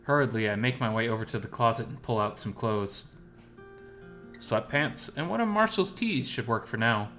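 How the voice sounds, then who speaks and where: A man's voice: medium pitch, animated, normal speed, fairly bright tone, faint music behind. A man reading scripted first-person story narration as the narrator.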